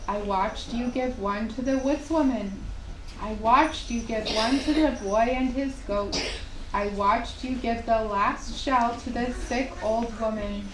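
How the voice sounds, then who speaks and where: A kindly quilt maker from a storybook puppet show, voiced by a woman storyteller, speaks sweetly and tenderly. Her slow, slightly high voice carries through an echoing room. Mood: tender praise. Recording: echoey, distant mic, faint hiss.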